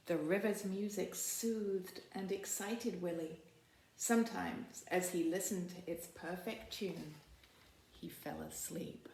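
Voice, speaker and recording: A woman school librarian reading aloud to children on a library video, teacherly and expressive, often checking in with simple questions. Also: on the low side. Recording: roomy, echoing sound.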